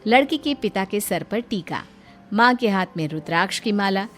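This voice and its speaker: A woman's voice: mid-range voice, expressive, moderate pace, soft background music. A woman reading scripted narration as the storyteller of a moral tale.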